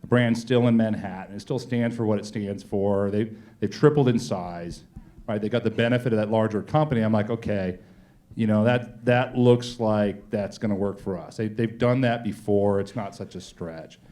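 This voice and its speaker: A man being interviewed as a company executive, telling stories conversationally and acting out exchanges in informal, animated speech. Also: on the low side, normal speed. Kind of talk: conversational on-stage storytelling.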